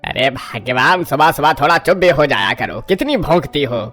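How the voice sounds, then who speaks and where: A woman voicing a weary older father-in-law in an animated family drama, grumbling and hushing. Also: low voice, normal speed, soft background music.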